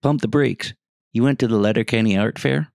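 An actor playing a stubborn, curt small-town farmer in a deadpan comedy script reading. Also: male voice, mid-range voice, a little fast, creaky, no echo, studio quality, lightly acted. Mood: curt, dismissive. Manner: deadpan, curt, rural slang. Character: blunt, stubborn small-town farm tough guy. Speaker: actor in a script table read.

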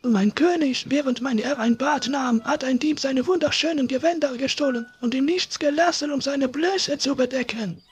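Man voicing a high-pitched sly storybook cat. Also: moderate pace, hardly any pauses. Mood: pleading, courtly. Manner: wheedling, courtly, theatrical. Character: sly, eager male talking cat in boots.

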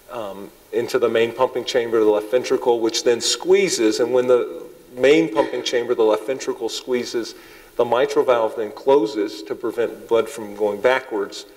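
A man in his thirties, a cardiologist, giving a public lecture to an audience in a large hall. He has a loud voice and talks haltingly, with many ums and restarts. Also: mid-range voice. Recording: long hall reverb.

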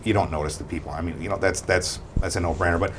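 A man in his thirties co-hosts a local talk show in a very deep voice. He questions a guest bluntly and opinionatedly, on a lapel mic with a faint hum in the small studio. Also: fairly even intonation, fast, fairly bright tone. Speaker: guest co-host.

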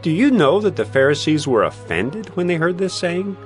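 Concerned disciple for scripture drama, higher register, animated questioning. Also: male voice, normal speed, soft background music.

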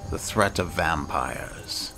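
Very deep gravelly man, slow. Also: music in the background. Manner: measured, solemn, dramatic. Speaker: gaming video host playing in character. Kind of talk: in-character game roleplay narration.

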